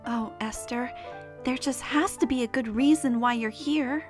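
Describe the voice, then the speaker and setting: A woman playing a devout, protective pony mare who captains the guard, speaking with earnest, solemn care in an animated fan drama. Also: high-pitched, a little slow, faint music behind. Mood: searching.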